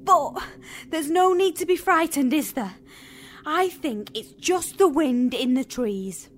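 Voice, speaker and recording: High-voiced, plucky young girl. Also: lively intonation, slow pace, slight background noise. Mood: reassuring. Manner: earnest, exclamatory storytelling. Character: plucky, ordinary young girl adventurer, sensible and bossy. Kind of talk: children's radio comedy drama acting.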